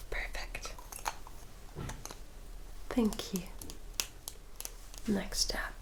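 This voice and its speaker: A gentle young vampire woman, acted out by a woman in an ASMR roleplay, whispering at a very slow pace. Her delivery is hushed and careful, with slightly formal wording, like a loyal attendant confiding in a newcomer. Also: low-quality recording. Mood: gentle, reassuring.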